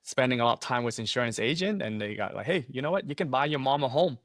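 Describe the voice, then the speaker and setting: A man's voice: medium pitch, expressive, fast, a bit bright, no room sound, studio quality. A man in his thirties, born in Vietnam and speaking English as a second language, a guest on a video call who tells his story earnestly, with frequent laughs, fillers and restarts. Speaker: guest, insurance agency owner. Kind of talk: casual interview storytelling. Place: small home office, indoors.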